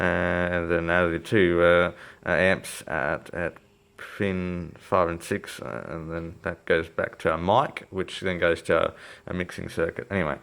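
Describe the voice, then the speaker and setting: A man's voice: low voice, fairly bright tone. A man giving a casual, unscripted electronics repair walkthrough, hesitant and rambling as he thinks aloud. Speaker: electronics repair hobbyist presenter. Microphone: phone filming the workbench.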